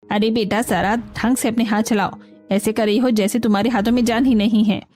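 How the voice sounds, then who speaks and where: A woman's voice: fairly high pitch, fairly even intonation, a little fast, darkish tone, soft background music, close to an everyday voice. A woman voicing a demanding, scolding elderly female houseguest in a cartoon story, bossy and full of complaints.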